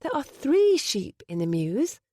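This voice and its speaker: A young wife, playful and stubborn, as a woman reading a romance audiobook puts her on. Her lines sit a little higher than the narration and leap about with animated pitch. The sound is close and dry. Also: measured pace, no room sound.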